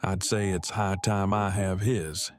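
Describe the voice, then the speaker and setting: A man acts out an awkward bookish fellow in an audio drama. His voice sits fairly low, and he talks in stiff, verbose, very formal sentences with an earnest streak. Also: steady tone, measured pace. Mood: matter-of-fact, formal. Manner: stilted, verbose, formal phrasing. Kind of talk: fan audio drama acting.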